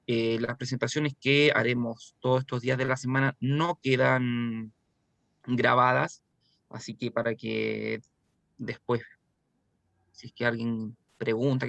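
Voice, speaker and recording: A man's voice: medium pitch, fairly bright tone, no echo. A man hosting an online lecture, opening the session with casual, chatty announcements. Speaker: webinar host.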